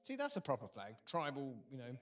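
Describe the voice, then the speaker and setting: A man hosting a casual live quiz, dry and wry, often pausing with ums. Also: medium pitch, wildly swooping pitch, a bit bright, soft background music. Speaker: quiz host.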